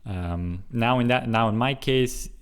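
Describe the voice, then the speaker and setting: A young man from Switzerland who grew up speaking English and Russian, vlogging casually and working slowly and carefully through hard foreign words between chatty, musing asides. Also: medium pitch, clear, resonant tone. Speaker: language-learning vlogger. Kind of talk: casual solo vlog.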